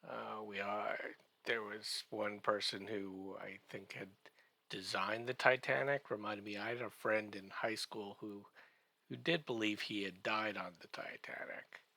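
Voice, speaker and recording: A man giving a comedic podcast recap in a halting, drawn-out way, full of wry joking asides. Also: on the low side, steady tone, creaky, pushed and bright, no echo.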